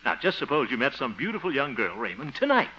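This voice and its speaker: A man's voice: medium pitch, big pitch swings, quick pace, sharp tone. An actor playing an exasperated, pompous but good-hearted man in a 1950s radio sitcom, blustering and muttering asides. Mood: lecturing. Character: exasperated, pompous, good-hearted grown son and self-styled mentor. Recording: dull old-time radio recording.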